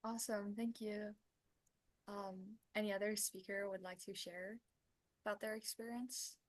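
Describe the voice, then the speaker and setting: Halting young woman moderating a panel. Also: mid-range voice, steady tone. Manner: halting, lots of likes and ums. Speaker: breakout room host.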